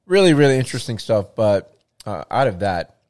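A man's voice: lowish voice, sing-song, brisk, darkish tone, no room sound, clean studio sound. A man talking in casual chat as a show host, rambling with many restarts and fillers.

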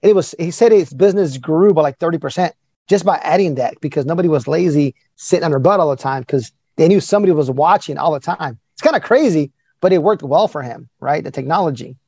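Chatty forties male host, upbeat and quick, dry close sound. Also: a little high, a little mellow, slightly rough, no room sound. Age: 40s. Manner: enthusiastic, chatty, quick-talking. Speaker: podcast host. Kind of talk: casual interview chat.

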